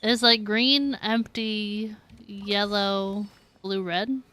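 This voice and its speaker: A woman's voice: mid-range voice, steady tone, very slow, bright, forceful tone. A woman giving casual live gameplay commentary, giggly and thinking aloud as she plays. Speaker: video game streamer. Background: game music.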